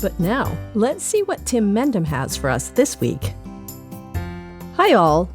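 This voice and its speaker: Podcast newsreader: Canadian female, animated and playful delivery of script, soft music underneath. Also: medium pitch, slow pace. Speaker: newsletter reader on a podcast. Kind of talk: light-hearted scripted news reading.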